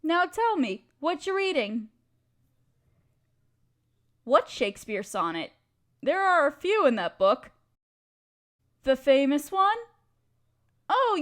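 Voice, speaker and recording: A woman playing a playful, affectionate wife who loves poetry, theatrical and teasing, in a romantic audio roleplay. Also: high voice.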